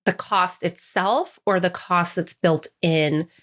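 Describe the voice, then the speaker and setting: A woman's voice: lowish voice, slow pace, a bit bright. A woman co-hosting a podcast in casual chat, giggly and tentative, with her talk full of "like" fillers. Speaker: podcast co-host.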